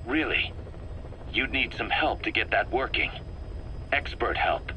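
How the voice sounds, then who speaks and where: A man's voice: medium pitch, a little slow, bright, forceful tone, low-quality recording. A man playing a stern, distrustful mercenary officer in a video game, giving briefings in a clipped, authoritative way. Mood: skeptical. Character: stern, distrustful male mercenary second-in-command briefing his commander. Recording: faint background noise on cassette recording.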